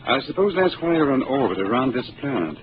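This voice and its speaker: An actor playing a polite, curious civilian passenger who asks many courteous questions, in a 1950s radio drama. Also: male voice, medium pitch, very animated, brisk, close to an everyday voice. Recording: hissy narrow 1950s radio broadcast.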